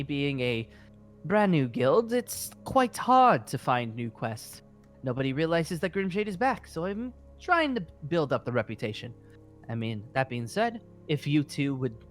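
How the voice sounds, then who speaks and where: A man's voice: on the high side, a bit bright, heavily acted. A man playing a genial, rambling guild master mage in an improvised game roleplay, speaking politely and hesitantly. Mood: earnest, businesslike. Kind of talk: improvised Minecraft roleplay acting.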